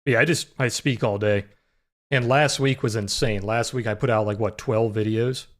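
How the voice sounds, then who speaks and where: A young man with a naturally deep voice that sometimes cracks high, hosting a trading livestream in relaxed, filler-heavy chat. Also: moderate pace. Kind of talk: casual live-stream chat with chat readers.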